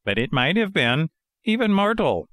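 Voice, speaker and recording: Man doing a high-voiced, inquisitive old villager. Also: big pitch swings, normal speed, a bit bright, no room sound. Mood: grave. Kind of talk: storybook character voice in audiobook narration.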